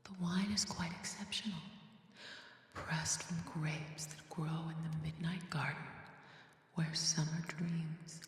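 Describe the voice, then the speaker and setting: A woman's voice: low-pitched, flat delivery, slow pace, a little hiss or hum. A woman voicing a courtly, sly male fae lord in a purring, coaxing whisper for an ASMR fantasy story. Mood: sly, tempting. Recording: long reverb over music bed.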